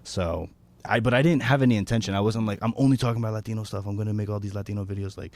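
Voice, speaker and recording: A man's voice: on the low side, racing, darkish tone, no room sound. A man from Florida chatting casually as a comedian guest in an interview, often restarting and correcting himself mid-thought. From Florida, United States.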